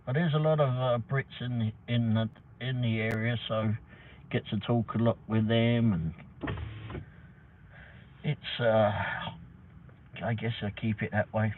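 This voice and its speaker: A man's voice: mid-range voice, fairly even intonation, unhurried, long pauses. A middle-aged man with an English accent, hosting a rambling, casual livestream chat with mild swearing. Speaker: livestream host, delivery driver. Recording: tinny phone-like audio with faint noise.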